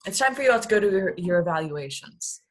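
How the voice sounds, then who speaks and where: A businesslike alien boss, played by a woman, impatient and no-nonsense. The voice is husky at a medium pitch, in a comedy script read. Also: animated, fast talker, close to an everyday voice.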